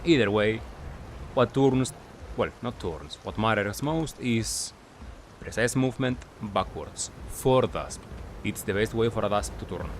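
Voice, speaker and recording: Low-voiced man explaining gameplay, noisy background. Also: animated. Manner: halting, self-correcting, asks and answers own questions. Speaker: gamer, video commentator. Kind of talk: explanatory gameplay commentary, casual.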